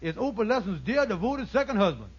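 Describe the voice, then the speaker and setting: Scheming lodge-leader con man, high-pitched, stammering, folksy, vintage radio sitcom, muffled old recording. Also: sing-song, brisk, slightly rough, heavily acted. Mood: flustered. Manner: blustering, stammering, folksy ungrammatical speech. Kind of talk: 1940s radio sitcom acting.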